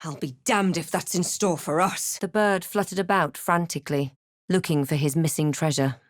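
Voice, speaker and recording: A woman voicing a composed, snarky adventurer and spellcaster in a fantasy video game, with a deliberate, dramatic delivery. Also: sits low, no echo, studio quality, close to an everyday voice. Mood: wry, composed. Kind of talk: fantasy game voice lines and scripted narration.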